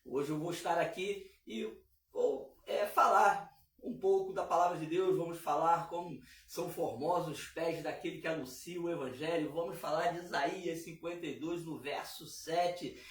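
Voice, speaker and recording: Hoarse man hosting gospel radio. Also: on the high side, normal speed. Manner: warm preacherly, many fillers and repeats. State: hoarse from a cold. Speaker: radio show host. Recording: echoey room, distant mic.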